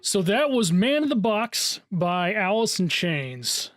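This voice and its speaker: A middle-aged American man with a high-pitched voice speaks as a radio DJ. He links songs in an informal, chatty way, with music playing behind him. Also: very animated, takes it slowly. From Seattle area, United States. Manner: informal, conversational asides. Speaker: radio show host and DJ. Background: music, guitar.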